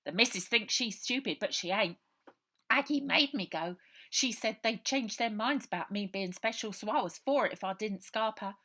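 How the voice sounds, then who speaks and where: A woman's voice: medium pitch, normal speed, sharp tone, pitched above the performer's own voice. Woman acting a plaintive working-class English orphan boy. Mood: defiant. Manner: colloquial, earnest, ungrammatical speech. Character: frightened ten-year-old orphan boy, plaintive, streetwise.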